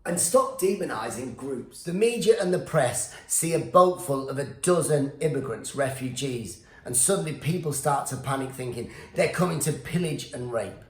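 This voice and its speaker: A man's voice: mid-range voice, lively intonation, normal speed, few pauses, reverberant. A male stand-up comedian, born in Iran with Farsi as his first language, delivering a sarcastic rant that keeps slipping into silly put-on voices.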